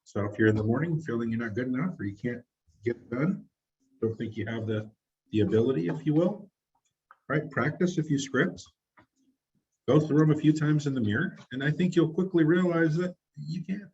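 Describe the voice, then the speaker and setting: A man's voice: on the high side, moderate pace, darkish tone, highs cut off, a little hiss or hum. A man leading a real estate training session, encouraging people and asking the group questions. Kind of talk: coaching a group discussion.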